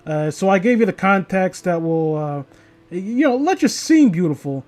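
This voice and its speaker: Young male killer in a horror tale, read by a man, high-voiced, halting, sheepishly sorry, music underneath. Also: lively intonation, darkish tone. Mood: apologetic. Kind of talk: horror story character reading.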